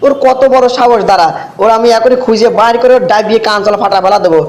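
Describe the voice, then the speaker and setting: A man's voice: sits high, steady tone, quick pace, lightly acted. A man voicing a proud, gullible, hot-headed villager in a comic cartoon, blustering with outrage in a rural Bangladeshi Bengali accent. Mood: outraged.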